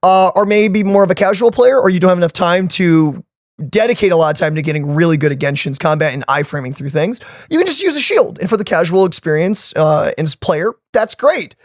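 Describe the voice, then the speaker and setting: A man co-hosting a game reaction video, casually explaining stats with lots of fillers in an animated way. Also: sits high, a little fast, no room sound, studio quality. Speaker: co-host of a game reaction channel.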